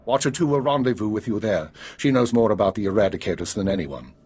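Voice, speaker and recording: An actor playing a guarded intelligence chief in a video game, measured, formal and authoritative. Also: male voice, lowish voice, animated, darkish tone, breathy edge. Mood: commanding. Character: grave, guarded male intelligence spymaster. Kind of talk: video game drama acting.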